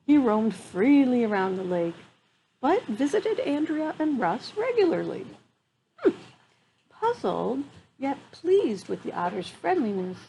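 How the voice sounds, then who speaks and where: A woman reads a children's picture book aloud at a slow pace, adding chatty exclamations. Her voice is fairly high and amused. She is outdoors, with faint ambient noise behind her. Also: vocal fry, mellow, rough recording. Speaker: children's storyteller. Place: outdoors on a wooden deck among trees.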